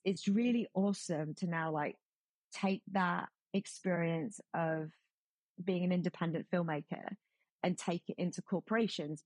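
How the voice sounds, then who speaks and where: A woman's voice: on the low side, a little mellow, husky, no room sound. A woman guest speaking enthusiastically in a loose conversational way, with fillers like "like" and "you know". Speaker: filmmaker, guest. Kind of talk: conversational discussion. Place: Texas.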